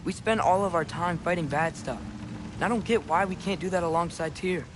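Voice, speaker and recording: A man's voice: fairly high pitch, a little fast, noisy background, lightly acted. An actor playing an earnest, restless teenage boy in a video game, with a light youthful voice and casual modern phrasing. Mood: earnest, frustrated. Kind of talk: video game dramatic acting.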